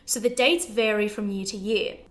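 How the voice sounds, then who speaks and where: A woman's voice: mid-range voice, steady tone, moderate pace. A young woman from China co-hosting a casual chat, playful and wry as she explains family customs. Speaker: podcast co-host.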